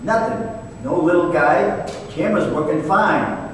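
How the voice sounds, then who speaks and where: Tough, wisecracking investigator sidekick acted by a man, highish voice, blunt and teasing, radio drama staged in a big reverberant hall. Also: unhurried, natural voice. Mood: matter-of-fact. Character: blunt, wisecracking, tough male detective partner. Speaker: investigator partner. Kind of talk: old time radio drama performance. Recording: very echoey hall, distant mic, faint noise.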